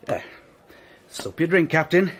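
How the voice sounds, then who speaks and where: An actor playing a commanding fixer for powerful men in a radio drama, blunt and coaxing by turns, with menace underneath. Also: male voice, a little high, sing-song, unhurried, a bit bright, slight background noise. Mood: smoothly persuasive.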